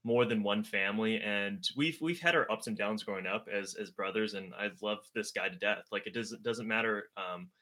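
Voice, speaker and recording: Brief: young man, Mandarin first language, earnest and reflective, low-pitched and bright-toned, lots of restarts. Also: normal speed, few pauses. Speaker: guest, older brother. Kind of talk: casual chat. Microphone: video livestream.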